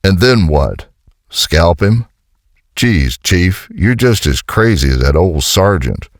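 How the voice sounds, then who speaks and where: Guy as a super deep gruff army colonel, sardonic tough jungle commando, war comic dialogue, dry recording. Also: very animated, takes it slowly, no room sound, heavily acted. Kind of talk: dramatic audio comic dialogue.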